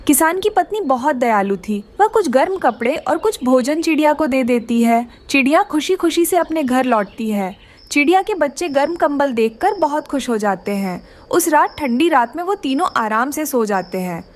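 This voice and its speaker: A woman's voice: sits high, animated, normal speed. A woman narrating a scripted children's cartoon story.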